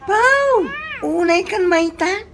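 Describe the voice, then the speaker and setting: Woman playing an outspoken, creaky high-voiced young woman. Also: lively intonation, takes it slowly, a little hiss or hum, full character voice. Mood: indignant. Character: outspoken young female friend, protective and indignant. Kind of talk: Ilocano radio comedy drama acting.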